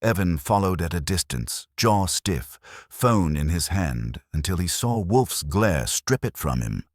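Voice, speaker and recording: A man reads detective fiction in a very low, husky voice with a measured delivery. His pitch moves in lively swings through scripted, first-person narration, and the sound is dry and close. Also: dry recording. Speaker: narrator of a detective mystery story.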